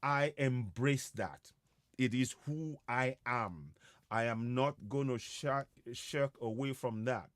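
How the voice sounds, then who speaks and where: Slow, halting man hosting. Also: mid-range voice, a bit bright, no room sound, studio quality. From Yorubaland, West Africa. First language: Yoruba. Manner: halting, repetitive, with many hesitations. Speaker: host of an online broadcast. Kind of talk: live monologue to viewers, advocacy talk.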